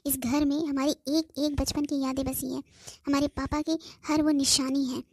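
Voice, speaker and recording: High-voiced, emotional young daughter played by a woman. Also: level tone, moderate pace, darkish tone, no room sound. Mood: hurt, reproachful. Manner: emotional, reproachful, earnest. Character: devoted young daughter, pleading, sentimental about home. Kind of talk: amateur cartoon voice acting.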